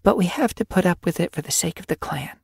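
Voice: medium pitch, animated, quick pace, a little mellow, breathy edge, no echo, voice pushed up. A narrator voicing a sensible young female cat apprentice with a dry, scolding manner in an animal storybook. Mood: wry, muttering.

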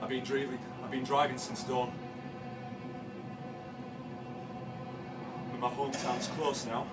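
An actor with a British accent playing a haunted, obsessive man confessing to a killing in a halting, repetitive live horror monologue that swings between hush and shouting. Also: mid-range voice, little pitch movement, close to an everyday voice. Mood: uneasy, dread. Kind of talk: live dramatic monologue of horror audio drama. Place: small dim café stage before seated audience. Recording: distant live room mic, music behind.

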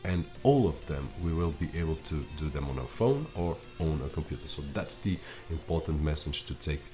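A man with a very low voice and a Portuguese first language talks viewers through tech gear from his home office desk. He is chatty and direct, with plenty of fillers, in his thirties. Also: darkish tone. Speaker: tech reviewer. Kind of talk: tech review to camera.

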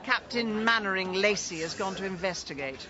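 An actress playing a brisk, sardonic, imperious middle-aged amateur sleuth in a radio comedy drama. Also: female voice, medium pitch, animated, muffled recording, a crowd in the background, close to an everyday voice.

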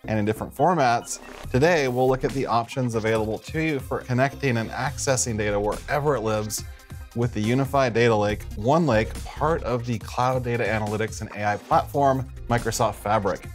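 A man's voice: medium pitch, a little fast, faint music behind. A man in middle age hosting a tech show, presenting in a scripted style from a studio desk. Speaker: show host.

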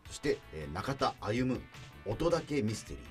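A man's voice: mid-range voice, very animated, moderate pace. A man hosting a radio show, moving between scripted announcements and casual chat. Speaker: radio show host, actor. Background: background music.

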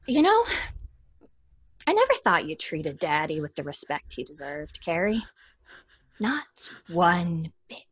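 A resentful, greedy young daughter in a horror audio drama. Her voice is husky and slow, intense and halting, with lively pitch, recorded dry. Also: no room sound, full character voice. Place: small room at home, on video call.

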